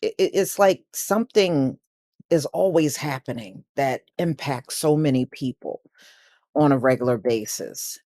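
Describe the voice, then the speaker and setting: Female commentator, conversational and a little halting, casual news reaction, close dry sound. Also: very deep voice, dark tone, no echo.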